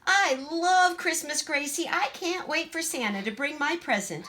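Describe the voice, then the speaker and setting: A woman's voice: sits high, moderate pace, sharp tone, slight background noise, voice pushed up. A woman voicing a bossy, boastful, chatty little girl in a children's storybook, with an emphatic, gushing know-it-all manner. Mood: excited chatter.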